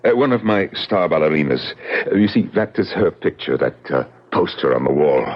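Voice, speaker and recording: A worried impresario in an old radio play, smoothing things over hesitantly. His low voice is husky and lively in pitch, on a dull broadcast recording. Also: normal speed. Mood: placating. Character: placating, worried male ballet company impresario. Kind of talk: 1970s radio drama acting.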